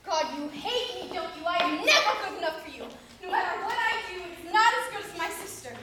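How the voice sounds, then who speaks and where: A woman's voice: very high voice, lively intonation, brisk, heavy background noise. A woman performing a dramatic monologue as a resentful girl living in her sister's shadow, bitter and accusing. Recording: very echoey room.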